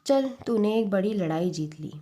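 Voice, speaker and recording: A woman voicing an earnest, protective middle-aged mother in a story reading, speaking in a measured, advising way. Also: mid-range voice, animated, mellow, natural voice. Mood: relieved.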